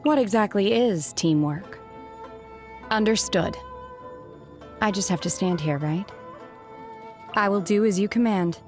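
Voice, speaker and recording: An actress playing a stoic, reserved young female exorcist in a video game, speaking formally and with soft hesitance. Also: medium pitch, soft background music, lightly acted. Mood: reserved, polite. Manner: measured, formal, softly hesitant, detached. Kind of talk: video game character voice lines.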